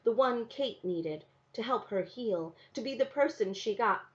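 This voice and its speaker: A woman teacher reading a novel aloud to her class in a soft, measured, unhurried way. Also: fairly high pitch, animated. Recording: dull lo-fi recording.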